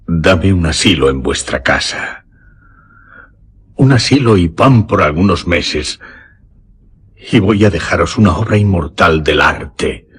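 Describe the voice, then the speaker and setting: Husky, fervent pilgrim musician. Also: male voice, low-pitched, expressive, takes it slowly, long pauses, exaggerated voice. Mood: fervent pleading. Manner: impassioned, solemn pleading. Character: fervent, obsessed male pilgrim musician, pale, half-mad. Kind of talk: radio drama acting.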